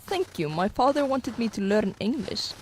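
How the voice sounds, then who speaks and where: A woman's voice: a little high, sing-song, normal speed, background noise. A woman playing a resolute young Soviet sniper lieutenant in a radio drama, speaking formally and sternly. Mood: earnest.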